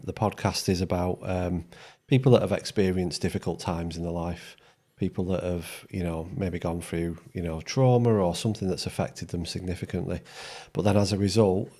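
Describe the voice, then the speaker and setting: A man's voice: sits low, warm tone. A man from Yorkshire hosting a podcast interview, chatting casually and hesitantly with many ums and restarts. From Yorkshire, England. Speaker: podcast host.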